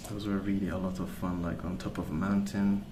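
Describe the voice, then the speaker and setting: A man speaking softly as an ASMR host, rambling through stories in a hesitant, pausing, unhurried way. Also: deep voice, level tone, background noise. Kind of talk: soft-spoken ASMR rambling.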